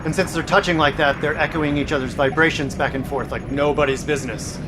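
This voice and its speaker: A man's voice: on the high side, fairly even intonation, brisk, music in the background, natural voice. A man playing a deferential submarine sonar operator in an audio drama, reporting in folksy technical talk. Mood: puzzled, focused. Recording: noisy vessel ambience behind voice.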